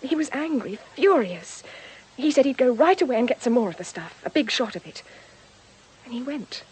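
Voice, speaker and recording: An actress playing an anxious young woman in a radio drama, protective of her troubled lover, with an earnest, hesitant, hushed manner. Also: sits high, animated, moderate pace, breathy edge, heavily acted, poor audio. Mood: fearful, urgent.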